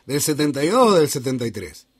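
A man's voice: mid-range voice, big pitch swings, quick pace, breathy edge, no room sound. Argentine man interviewing, dry close sound. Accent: Argentine Spanish. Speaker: radio interviewer, host. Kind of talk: casual conversational interviewing.

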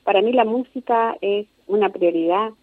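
A woman of about fifty with an Argentine Spanish accent speaks in a reflective, conversational way, as a guest explaining herself. Her voice sits at an ordinary pitch. It comes through a thin, phone-like connection with very little room sound. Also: normal speed, no room sound. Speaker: interview guest, singer and singing teacher. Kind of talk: conversational interview answers. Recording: narrow tinny phone-like line.

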